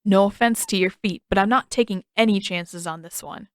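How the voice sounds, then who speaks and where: A woman's voice: mid-range voice, moderate pace, dry recording, natural voice. A woman voicing a jumpy, skeptical teenage boy in a story reading, blustery and wary. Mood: wary, insistent. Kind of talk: character voice in a fan fiction reading.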